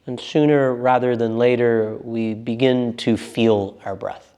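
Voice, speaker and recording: A man guiding a meditation as a teacher, speaking in a gentle, unforced and reassuring manner. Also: mid-range voice, steady tone, unhurried, clean tone. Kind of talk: guided meditation instruction.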